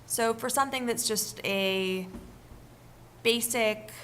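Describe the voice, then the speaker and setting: Brisk woman answering a question on fees. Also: a little high, a bit bright, slight background noise. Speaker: panelist, finance expert. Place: event room with audience.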